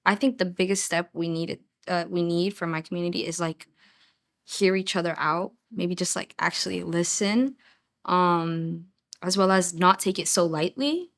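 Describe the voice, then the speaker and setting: A teenage girl talks casually in a youth discussion, with a fairly low voice and plenty of fillers. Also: normal speed, fairly bright tone. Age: teens. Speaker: youth participant.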